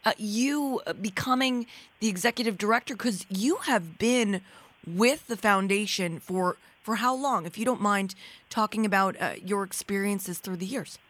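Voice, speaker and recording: A woman hosts a radio interview from the studio and talks in a warm, complimentary way. She stops and restarts often and fills her pauses with little sounds. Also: medium pitch. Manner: warm, complimentary, with frequent fillers. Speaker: radio host.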